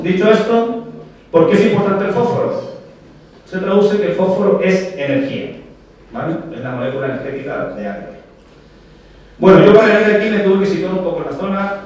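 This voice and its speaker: Male speaker, Chilean Spanish accent, conference lecture, keeps checking in with the audience; fairly high voice, recorded far off in a very echoey hall. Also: a little hiss or hum. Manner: explanatory, frequent "¿vale?" checks, rhetorical questions to the audience. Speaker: agronomy speaker. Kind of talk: technical conference talk.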